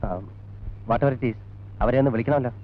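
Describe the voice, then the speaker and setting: An actor playing a brisk, insistent police detective in a 1980s film drama, probing in a businesslike way. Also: male voice, medium pitch, natural voice. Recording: dull old film soundtrack.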